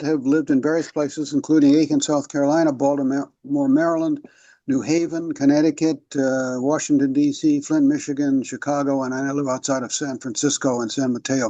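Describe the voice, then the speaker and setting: A man's voice: fairly high pitch, level tone, normal speed, warm tone, no echo, dull audio. An older man with an American accent, giving a casual self-introduction.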